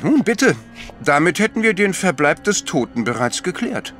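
An actor playing a polite, thoughtful priest-detective who asks orderly, gentle questions in a radio play. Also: male voice, high voice, sing-song, moderate pace, soft background music. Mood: courteous, thoughtful. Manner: courteous, orderly, gently inquisitive.

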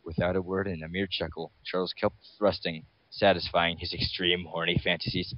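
A man with a low voice reads a story as a dramatic narrator. The recording is dry and close, with a dull, lo-fi sound. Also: little pitch movement, moderate pace, no room sound. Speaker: reader. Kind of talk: dramatic reading of a fan story.

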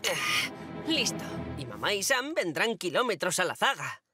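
A woman's voice: lowish voice, big pitch swings, moderate pace, bright, forceful tone, faint music behind. An actress voicing a cheeky, bossy young boy in a dubbed children's cartoon. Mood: scheming. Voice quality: mischievous, bossy. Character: cheeky scheming boy Norman, about ten.

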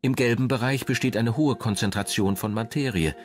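A man reading scripted documentary narration in a measured, polished way. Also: medium pitch. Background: background music.

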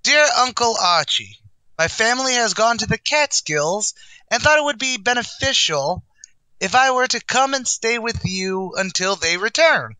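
A man's voice: very high voice, animated, moderate pace, bright, forceful tone, smooth, dry recording, full character voice, low-quality recording. An actor playing a brash, boastful male tavern manager, in a wisecracking 1940s radio comedy style. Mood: excited.

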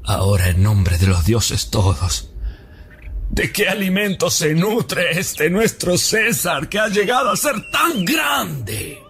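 A man's voice: high voice, big pitch swings, slow pace, fairly bright tone, a little hiss or hum, full character voice. A man playing a bitter, sneering Roman conspirator. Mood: impassioned. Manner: declamatory, sneering, mocking. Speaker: stage actor. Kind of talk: theatrical monologue.

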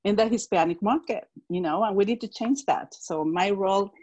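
A middle-aged woman from Mexico with a Mexican Spanish accent, speaking on a video call through an earphone mic, enthusiastic and quick to laugh. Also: medium pitch, darkish tone, highs cut off. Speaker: direct sales executive.

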